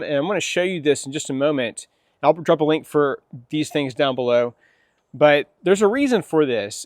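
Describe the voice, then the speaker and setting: A middle-aged man hosting a casual product demo at his home, chatting and asking questions. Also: fairly high pitch. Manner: chatty, asks questions, quick nods of agreement. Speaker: channel host. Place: home, indoors and outdoors.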